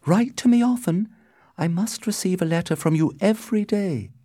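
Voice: mid-range voice, normal speed, mellow, no room sound, clean studio sound, voice pushed up, natural voice. A performer voicing a lovesick, distressed young noble daughter in a storybook reading, earnest and pleading. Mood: loving.

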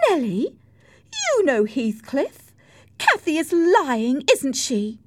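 A woman's voice: high voice, huge pitch swings, slow, darkish tone, slight background noise, pitched above the performer's own voice, exaggerated voice. A woman voicing a naive, infatuated eighteen-year-old young lady in an audiobook, petulant and emphatic. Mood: pleading. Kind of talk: audiobook character voice.

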